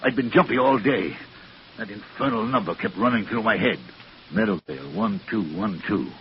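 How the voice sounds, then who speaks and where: A man's voice: medium pitch, sing-song, moderate pace, creaky. A man acting in an old-time radio drama as a haughty, wealthy, self-justifying businessman with a pompous, clipped, imperious manner. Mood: jittery. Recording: muffled, hissy old radio recording.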